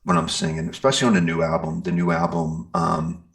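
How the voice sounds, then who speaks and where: A man's voice: low-pitched, monotone, fairly quick, a bit bright. A middle-aged man chatting casually as an interviewed musician, rambling and enthusiastic in an informal way. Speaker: metal singer and guitarist being interviewed.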